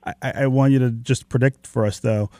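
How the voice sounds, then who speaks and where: A male radio host with a warm, gravelly voice guides the conversation calmly. He is recorded dry and close in a studio. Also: mid-range voice, brisk, no echo. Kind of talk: radio talk show.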